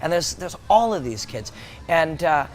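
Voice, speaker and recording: A man interviewing a guest in a relaxed, chatty way, asking loosely phrased questions. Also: fairly high pitch, measured pace, low-quality recording.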